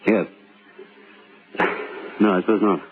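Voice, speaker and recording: An actor playing a scheming husband of nearly forty in an old-time radio drama, speaking haltingly as he feigns innocent worry. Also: lowish voice, fairly even intonation, over music. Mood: feigned worry. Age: middle-aged. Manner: halting, stammering. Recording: lo-fi old radio recording, background noise.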